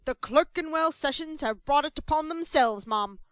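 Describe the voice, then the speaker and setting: A blustering, self-satisfied male parish official from an old storybook, put on by a woman narrator. He speaks loudly and sternly, proud of his office and deaf to anyone's hardship. The sound is close and dry. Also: high voice, expressive, normal speed, dry recording. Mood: pompous. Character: pompous self-important middle-aged male parish beadle.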